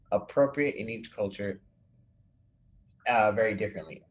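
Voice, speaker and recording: A man teaching a design class in a recorded lecture, explaining an assignment in a relaxed way and reading an example aloud, with small restarts. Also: sits low, highs cut off. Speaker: instructor.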